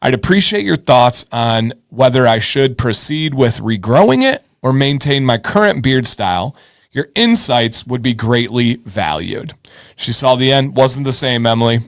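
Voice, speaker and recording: A man's voice: mid-range voice, expressive, a little slow, no room sound, clean studio sound. A man hosting a live advice show in casual chat, informal and encouraging, thinking aloud and repeating himself.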